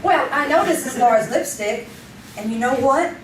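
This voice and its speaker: A woman's voice: high voice, normal speed, natural voice. An actress playing a stubborn, intuitive female sidekick in an old-time radio drama, with an insistent, knowing manner. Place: community theater stage. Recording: roomy, distant live stage recording.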